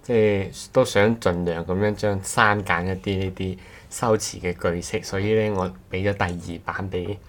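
A male co-host with a low voice and a Cantonese accent. He talks in a casual, anecdotal way, looping back to repeat and restart phrases. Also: steady tone, normal speed. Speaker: podcast co-host.

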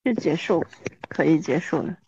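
A woman's voice: deep voice, lively intonation, moderate pace, vocal fry, darkish tone, breathy edge, no room sound, muffled recording. A woman in her thirties hosting a casual chat with an old friend, with a wry, self-mocking manner. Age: 30s. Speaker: podcast host.

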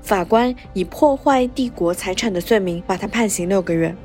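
A woman's voice: medium pitch, moderate pace, darkish tone, faint music behind. A woman hosting a podcast and narrating a scripted story recap.

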